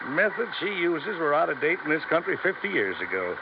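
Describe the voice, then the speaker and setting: A man's voice: on the high side, sing-song, brisk, creaky. An actor with an American accent playing a self-assured, dismissive male construction boss in a 1950s radio drama. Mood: scornful, amused. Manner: dismissive, chuckling. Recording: noisy, muffled vintage radio recording.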